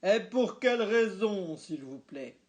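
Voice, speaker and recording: A woman reading a storybook, voicing a stubborn father who grows indignant and shouts at a waitress. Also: sits high, lively intonation, a little slow, pitched above the performer's own voice. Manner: indignant, repeats his pointed questions. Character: exasperated dad, stubborn and quick to shout.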